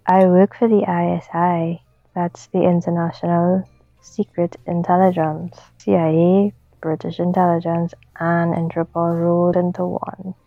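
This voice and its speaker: Gravelly Trinidadian woman. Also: fairly low pitch, little pitch movement, unhurried, no echo, phone-quality sound, soft background music. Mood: matter-of-fact. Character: adult woman on a dinner date, a simulated companion.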